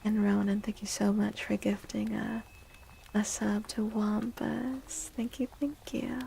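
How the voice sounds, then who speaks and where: Female ASMR streamer, soothing and whispery, with creaky edges and a mellow tone, close-miked over faint noise. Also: mid-range voice, level tone. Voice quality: breathy, often whispery. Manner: gentle, soothing, unhurried. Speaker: livestreamer reading tarot for viewers. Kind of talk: soft-spoken ASMR.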